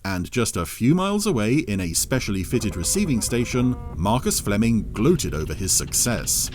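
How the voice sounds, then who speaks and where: A man reads as the narrator of a dramatic audio story. His voice is fairly low and extremely varied in pitch, with music behind him. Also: sing-song, moderate pace.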